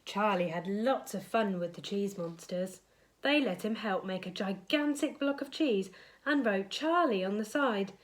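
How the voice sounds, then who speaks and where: A woman's voice: medium pitch, unhurried. A woman reading a children's bedtime story aloud, expressively dramatising it for young listeners.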